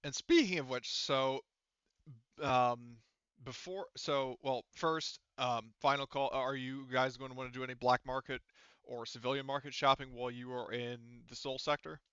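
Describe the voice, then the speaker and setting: Bright, crisp-voiced man as game master. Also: medium pitch, fairly even intonation, moderate pace, dry recording, highs cut off. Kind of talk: casual talk running a tabletop game.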